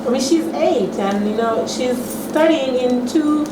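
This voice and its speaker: A woman with a fairly high voice speaks earnestly as a parent in a group discussion. She sounds distant in an echoey room, with noise behind her. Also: a little slow. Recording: echoey room, far from mic.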